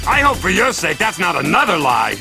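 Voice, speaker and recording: A man voicing a gruff, blustering cartoon bruiser in a video game, greedy for cash and easily exasperated. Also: high voice, wildly swooping pitch, a little fast, full character voice. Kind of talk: animated video game cutscene acting. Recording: distant voice under music.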